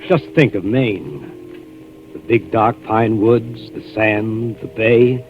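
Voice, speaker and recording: An actor playing a folksy, insistent hotel keeper in a 1940s radio mystery, courting with a coaxing, familiar manner. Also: male voice, on the low side, slow pace, over music. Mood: persuasive. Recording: dull, noisy vintage 1940s radio recording.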